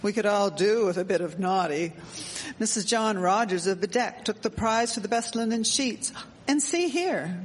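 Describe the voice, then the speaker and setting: A woman's voice: fairly high pitch, slightly rough. A woman playing a wry, outspoken woman of the 1870s in a radio drama, with formal period phrasing. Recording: echoey hall, faint background noise.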